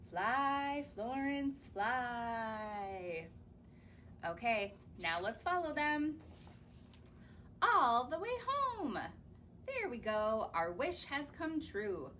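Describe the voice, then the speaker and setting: Slow, sing-song woman reading to kids. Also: fairly high pitch, a bit bright, slight background noise. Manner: sing-song, slow, coaxing, asks the children questions. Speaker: children's librarian. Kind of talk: reading picture books aloud to young children. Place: library or home, recorded on camera.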